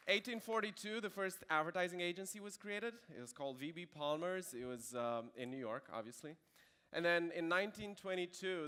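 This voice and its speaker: A man's voice: on the high side, pushed and bright, clear, resonant tone. A man in his thirties with a Romanian accent, giving a conversational conference talk with dry asides. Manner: conversational with dry jokes and fillers. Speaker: conference speaker. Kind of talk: keynote presentation.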